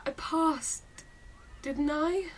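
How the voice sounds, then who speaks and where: A woman acting as a scientist's stubborn teenage daughter. Her voice is fairly high and unhurried, with clipped, guarded replies, set in a radio play with faint noise behind. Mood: guarded, defiant. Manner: clipped, terse.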